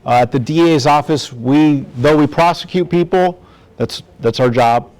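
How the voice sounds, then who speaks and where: A man's voice: on the high side, moderate pace, a little mellow, rough recording. Plainspoken middle-aged man, presenting informally. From National City, San Diego area, United States. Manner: plainspoken, direct, acts out imagined dialogue. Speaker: law enforcement community speaker on gang prevention. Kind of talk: informal community presentation to parents.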